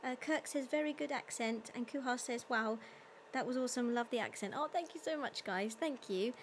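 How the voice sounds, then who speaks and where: High-voiced young woman chatting with viewers. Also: moderate pace, slight background noise. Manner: chatty, reads out viewer comments and thanks them warmly; retells a story loosely, searching for words. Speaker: singer hosting a live-streamed concert. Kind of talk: casual chat with online viewers between songs.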